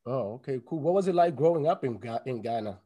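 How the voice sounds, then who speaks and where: A man's voice: medium pitch, expressive, quick pace, mellow. A man hosting a soccer podcast, friendly and teasing as he asks his guest questions. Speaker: podcast host. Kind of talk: podcast interview.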